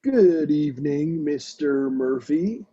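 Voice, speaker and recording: Man playing a very slow, dopey young boyfriend. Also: a little high, lively intonation, warm tone, husky, muffled recording, heavily acted. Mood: polite. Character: dim, sweet, spiky-haired tattooed young boyfriend. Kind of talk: comedy table read acting.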